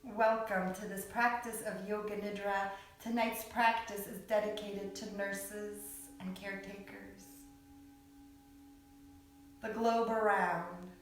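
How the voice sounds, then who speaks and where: A woman guiding a yoga nidra meditation in a soothing, unhurried way, with long pauses between short phrases. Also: medium pitch, level tone. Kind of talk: guided meditation. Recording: echoey, distant mic with background music.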